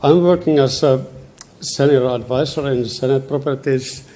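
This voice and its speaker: Mellow middle-aged Finnish man presenting. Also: medium pitch, moderate pace, slight background noise. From Finland. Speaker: conference speaker, senior advisor. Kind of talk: formal slide presentation.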